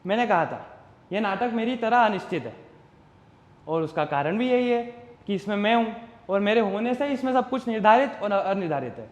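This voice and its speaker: A man performing a stage monologue as an uncertain, philosophical common man, speaking deliberately and reflectively straight to the audience. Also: high-pitched, lively intonation, lo-fi sound. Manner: deliberate, reflective, rhetorical, addressing the audience directly. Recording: roomy echo with long reverb.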